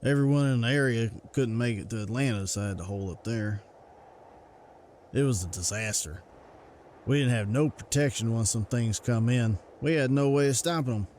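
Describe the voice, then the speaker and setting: A man acting as a troubled, soft-hearted country hunter for a motion comic. He speaks in folksy American, plain and ungrammatical, at a middling pitch, over a noisy background ambience. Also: moderate pace, natural voice. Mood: troubled, recounting. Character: gentle, guilt-ridden rural hunter, troubled and plain-spoken.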